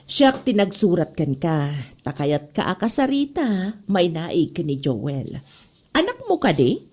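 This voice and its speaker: A woman playing an easily charmed schoolteacher in a comedy audio drama, coy and expressive, with a Northern Philippine accent. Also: fairly low pitch, unhurried, breathy edge, heavily acted. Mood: businesslike. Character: female schoolteacher, stern reputation but easily charmed.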